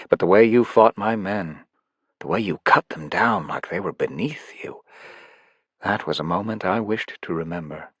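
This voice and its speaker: A man voicing a cold, grandiose villain king who speaks slowly and condescendingly. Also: low-pitched, breathy edge, no room sound. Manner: measured, slow, condescending.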